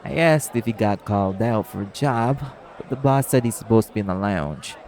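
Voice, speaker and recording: A man playing a brisk, businesslike nightclub tailor who talks directly and informally in an audio roleplay. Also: lowish voice, animated, a crowd in the background, natural voice. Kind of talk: audio roleplay acting addressed to the listener.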